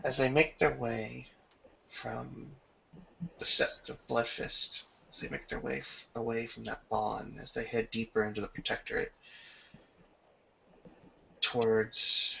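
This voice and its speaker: A man's voice: mid-range voice, level tone, takes it slowly, creaky, long pauses, sharp tone, lo-fi sound. A man narrating a tabletop roleplaying game as game master, with ornate, descriptive storytelling.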